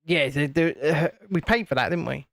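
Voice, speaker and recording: High-voiced man hosting a livestream. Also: moderate pace, creaky, fairly bright tone, no room sound, studio quality. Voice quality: naturally high-pitched voice. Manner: cheeky, banters and reads out chat. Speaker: host of a building livestream. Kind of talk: casual livestream chat.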